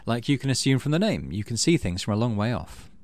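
A middle-aged man hosting a let's play, reading game text aloud and thinking out loud as he plays. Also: medium pitch, very animated, quick pace, no echo. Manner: thinks aloud while playing.

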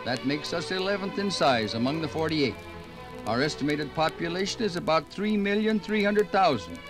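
A man's voice: a little high, lively intonation, moderate pace, over music, close to an everyday voice. An actor playing a folksy, plain-spoken American man narrating a 1950s radio documentary in a conversational, homespun way. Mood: easygoing, folksy.

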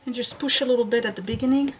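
A woman's voice: fairly high pitch, very fast, a little hiss or hum. A woman chatting casually to camera as she shows off a bookcase and installs a towel rack in her basement, thinking aloud with many fillers. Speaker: home organizing vlogger. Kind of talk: casual show-and-tell narration. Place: basement room at home, indoors.

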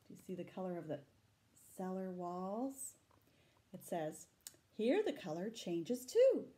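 A middle-aged woman reading a picture book aloud to children, dramatic and deliberate, adding asides and questions for her young listeners. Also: on the low side, very animated, dark tone. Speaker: library storytime reader. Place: small room indoors. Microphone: camera a short distance away.

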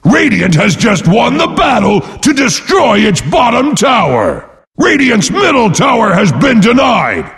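An actor voicing a boastful, brash brute warrior as a video game announcer, bellowing pompously in a gravelly voice. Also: male voice, very high-pitched, sing-song, unhurried, fairly bright tone, raised voice, long reverb tail, full character voice. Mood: booming, forceful. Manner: pompous, speaks of himself in third person.

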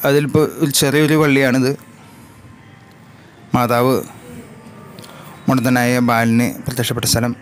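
A man with a Malayali accent narrating a travel vlog slowly and in measured, short separated phrases. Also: medium pitch, fairly even intonation, a little hiss or hum. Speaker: vlogger. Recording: loud music over the voice. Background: loud music.

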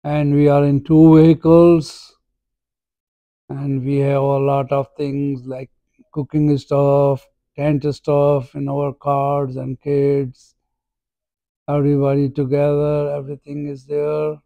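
Brief: man, unhurried vlogger, slightly raised pitch, dry close phone sound. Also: monotone, dark tone, no room sound. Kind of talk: casual travel vlog narration.

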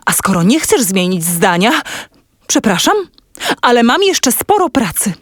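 A woman's voice: medium pitch, sing-song, a little slow, no room sound, studio quality. A woman playing a principled, reserved music teacher in a children's audio drama. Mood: firm.

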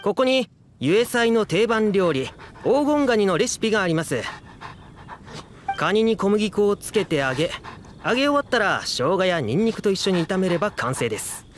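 A man's voice: high voice, big pitch swings, normal speed, a little hiss or hum. A man voicing a courteous, helpful innkeeper in a Japanese fantasy game, polite and encouraging. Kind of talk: Japanese anime game voice acting. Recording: music behind the voice.

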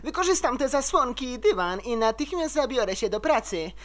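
A woman's voice: a little high, sing-song, fairly quick. A woman voicing a dramatic, fussy young fashion-designer pony in an amateur cartoon dub. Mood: determined.

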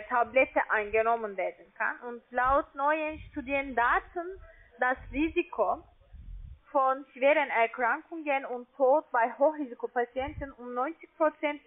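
High-pitched woman, foreign accent, non-native speaker, halting phone sales agent, tinny narrow line. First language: not German. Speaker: call centre account agent selling online trading.

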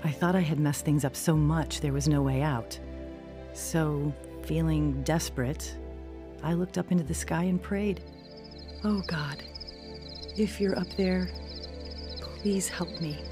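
Young woman, lower pitch, troubled, earnest, confessional, dramatized testimony, music bed. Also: steady tone, unhurried, long pauses. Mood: reflective. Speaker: narrator and lead actor in audio drama. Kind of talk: first-person dramatized testimony narration. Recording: music bed under studio voice.

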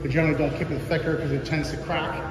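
High-voiced man giving a noisy workshop tour. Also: flat delivery, very fast. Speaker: mill worker giving a tour. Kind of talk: casual explanatory walking tour. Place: large woodworking mill and lumber warehouse. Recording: noisy workshop with machinery hum.

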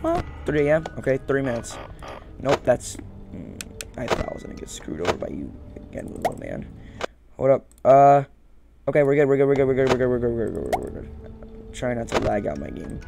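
A man's voice: mid-range voice, very animated, fairly quick, gravelly, noisy background. A young man doing casual gameplay commentary, repeating short phrases to himself as he plays. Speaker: gaming video host. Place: small room at home, indoors. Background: game music.

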